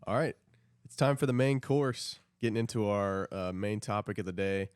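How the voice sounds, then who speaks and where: A man's voice: fairly low pitch, normal speed, clean tone, dry recording, studio quality. A young man co-hosting a podcast in a casual, conversational way among friends. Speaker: podcast co-host.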